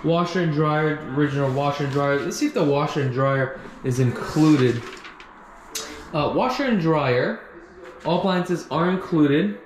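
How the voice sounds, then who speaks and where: A man's voice: fairly high pitch, measured pace. A man giving a casual walkthrough commentary as a real estate agent, recorded on a handheld camera in empty rooms. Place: empty condo rooms and outdoor walkway. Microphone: handheld action camera. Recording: echoey empty rooms, some background noise.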